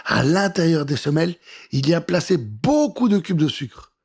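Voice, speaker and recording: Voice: mid-range voice, sing-song, moderate pace, darkish tone, breathy edge. A storyteller reading a scripted children's adventure in a theatrical, suspenseful way.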